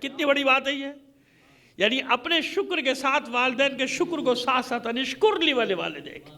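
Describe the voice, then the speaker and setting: A man's voice: extremely high pitch, expressive, moderate pace, sharp tone. A man delivering an impassioned religious sermon as a Shia preacher, emphatic and repetitive, with rhetorical questions. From Pakistan. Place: congregation hall, speaking to a gathering.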